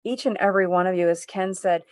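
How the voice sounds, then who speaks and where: A woman's voice: mid-range voice, fast. A woman sharing emotionally on a group video call, gushing and rambling with restarts.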